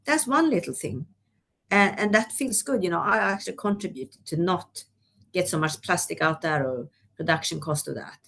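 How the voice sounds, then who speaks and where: Woman, low voice. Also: animated, normal speed, creaky, fairly bright tone. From Sweden. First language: Swedish. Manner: conversational, frequent "you know". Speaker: guest executive. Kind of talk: webinar discussion.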